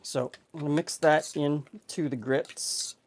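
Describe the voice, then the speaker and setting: A man's voice: a little high, measured pace. A middle-aged man, hosting a casual home cooking show and chatting through the steps with easy fillers. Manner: relaxed, chatty, thinking aloud with fillers.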